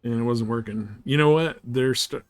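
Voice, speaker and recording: Casting: male game streamer, mid-pitched voice, laid-back and slangy, loose rambling live commentary. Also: normal speed, clean tone, dry recording. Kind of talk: casual live gameplay chat and commentary.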